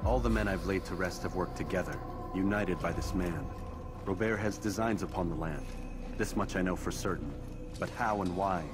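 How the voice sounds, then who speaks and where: A man's voice: sits low, normal speed, close to an everyday voice. A man playing a stoic, determined young assassin in a video game, serious and restrained. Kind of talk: dramatic video game cutscene acting. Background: music.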